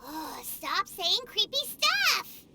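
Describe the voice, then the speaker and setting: Squeaky little companion creature. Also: female voice, slow pace, music in the background, full character voice. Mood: complaining. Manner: squeaky, whiny, animated.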